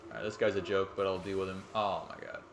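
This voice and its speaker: A man's voice: low voice, steady tone, fast talker, a little hiss or hum. Young male streamer, rambling and self-mocking, roomy echoey sound, freely swearing between fights. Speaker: game streamer. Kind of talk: casual live-stream commentary. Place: home room at night.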